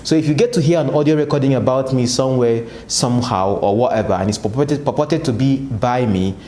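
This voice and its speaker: A man's voice: medium pitch, brisk, dark tone, echoey room. A Nigerian man giving political commentary to his viewers, asking and answering his own rhetorical questions. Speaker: political analyst, channel host. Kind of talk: commentary to camera.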